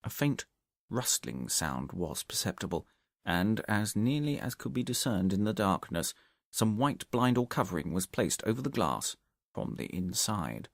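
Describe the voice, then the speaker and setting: A male audiobook narrator reads detective fiction expressively. His voice sits fairly low and moves with lively intonation. The recording is dry and close, with very little room sound. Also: measured pace, gravelly, no room sound.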